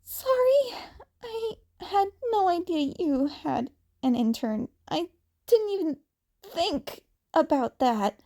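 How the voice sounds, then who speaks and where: A woman's voice: very high-pitched, sing-song, takes it slowly, warm tone, voice pushed up, exaggerated voice. A woman voicing an upset, awkward teenage boy vigilante in a story, hesitant and apologetic.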